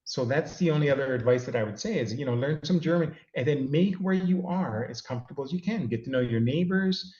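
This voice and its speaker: A man's voice: medium pitch, fairly quick, few pauses, a little mellow. Middle-aged guy from california rambling a bit, self-deprecating, roomy echo, dull lo-fi sound. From California, USA. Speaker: interview guest. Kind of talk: casual reflective advice.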